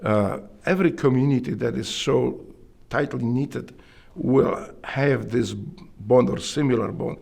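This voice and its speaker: A middle-aged man with a Polish accent, earnestly telling his story in an interview, often halting and restarting his sentences. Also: mid-range voice, vocal fry, darkish tone. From Poland. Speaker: interviewee, Navy SEAL veteran.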